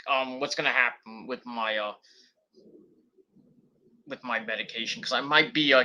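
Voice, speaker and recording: A man's voice: mid-range voice, pushed and bright. A man in his forties rambling casually at home, with many fillers, as he corrects himself mid-sentence. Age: 40s. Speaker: YouTuber. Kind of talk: rambling casual vlog chat. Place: home, at a desktop computer.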